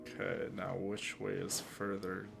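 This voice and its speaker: A man's voice: low voice, completely flat delivery, a little slow, creaky, over loud music. A man giving casual commentary as he plays a game, repeating phrases for emphasis. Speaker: gaming streamer.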